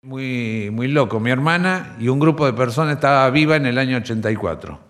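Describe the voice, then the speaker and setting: A man's voice: mid-range voice, animated, fairly quick. A man with an Argentine accent giving reflective spoken testimony in an interview. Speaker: interviewee, relative of a missing person. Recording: long reverb under loud music. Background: loud piano music.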